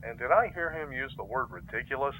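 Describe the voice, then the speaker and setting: A man playing a stern middle-aged fleet commodore and father, gruff and commanding, speaking over faint noise. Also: mid-range voice, lively intonation, fairly quick, sharp tone, rough recording. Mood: curious.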